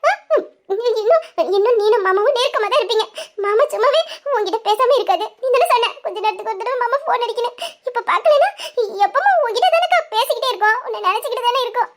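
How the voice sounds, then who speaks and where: A woman's voice: very high voice, animated, fast. A woman voicing a caring younger sister who coaxes and comforts her older sibling. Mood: comforting. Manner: soothing, coaxing. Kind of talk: dramatized audio story.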